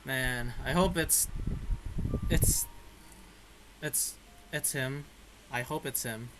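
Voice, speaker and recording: A man's voice: medium pitch, slow, over music, low-quality recording. A man giving casual game reaction commentary as a streamer, in short muttered remarks.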